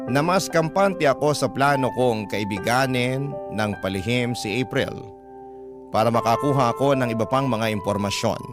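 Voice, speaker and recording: A Filipino man narrating a scripted, emotional first-person story as a radio narrator reading a letter. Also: medium pitch. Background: music bed.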